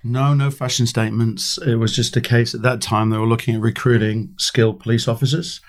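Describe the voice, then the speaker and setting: A croaky-voiced Englishman chats as a podcast guest. He tells his stories in a loose, self-deprecating way and breaks into laughter often. His voice sits at an ordinary middle pitch. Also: little pitch movement, a little fast. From England. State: croaky from a cold. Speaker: guest, former detective. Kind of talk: casual storytelling interview.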